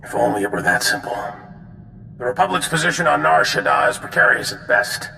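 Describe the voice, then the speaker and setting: Heavily gravelled male voice, bright edge, regretful military commander, gruff, game dialogue, echoing hall. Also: lively intonation, a little fast, heavily acted. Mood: weary, regretful. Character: weary, gravelly alien military general, gruff but regretful. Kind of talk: video game voice acting. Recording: echoey hall, noisy rumble.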